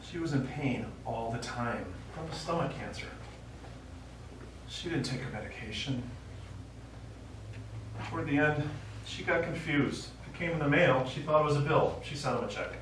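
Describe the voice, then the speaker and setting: A man's voice: mid-range voice, moderate pace, pauses often, lightly acted. In an echoey theatre, heard from far off, a man plays a stubborn old widower on stage. He is a veteran and retired teacher, given to reminiscing and reciting. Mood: wistful. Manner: reminiscing, quotes speeches and verse. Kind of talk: live stage play acting. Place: small indoor theatre stage. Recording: echoey theatre, distant mic, faint noise.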